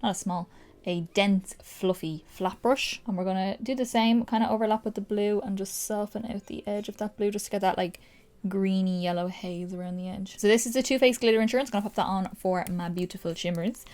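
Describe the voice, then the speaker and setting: A woman's voice: mid-range voice, fairly even intonation, a little fast, darkish tone. Chatty Irish woman vlogger. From Ireland. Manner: casual, gushing, explains as she goes. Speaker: makeup vlogger. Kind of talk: chatty makeup tutorial.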